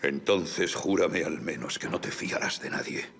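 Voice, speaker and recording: An apprehensive old gentleman of a period audio drama. His voice is very deep and full of gravel. He speaks bluntly and gravely, protective beneath the grumbling. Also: measured pace, slight background noise, full character voice. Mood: grave warning. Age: older. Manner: stern, grave, solemn warnings.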